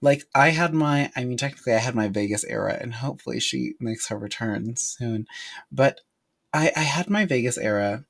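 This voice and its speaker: A man's voice: mid-range voice, normal speed, creaky, fairly bright tone. A man behind the camera joining a casual chat, rambling and filling his talk with "like". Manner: rambling, fills with "like" a lot. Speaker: camera operator and co-host.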